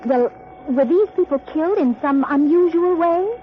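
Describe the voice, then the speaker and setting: A woman's voice: very high voice, normal speed. An actress playing an anxious, loyal young lady helping a sleuth in an old-time radio crime drama, earnest and dramatic. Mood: curious, concerned. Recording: muffled, noisy old radio recording.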